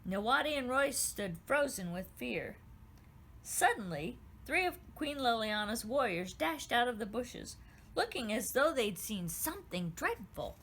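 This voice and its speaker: A woman, the author, reading her children's storybook aloud with gentle, expressive storytelling. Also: a little high, measured pace, breathy edge, rough recording.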